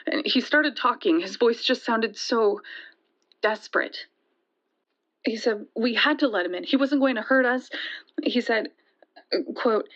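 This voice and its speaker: Audio drama: rattled young female storyteller, higher-pitched, conversational and hesitant, slightly muffled lo-fi sound. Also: a bit bright. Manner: halting, conversational, with hesitations and restarts. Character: shaken young woman recounting a terrifying night. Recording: dull, lo-fi sound.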